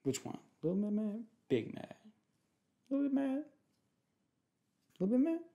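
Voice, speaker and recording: A young man playing a protective, jealous boyfriend in an ASMR roleplay, talking in soft, slangy, repetitive, teasing phrases. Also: very high voice, very animated, measured pace, creaky, phone-quality sound, voice pushed up, full character voice. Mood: coaxing, playful. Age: young adult. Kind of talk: ASMR boyfriend roleplay, improvised casual chat. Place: small room at home, indoors.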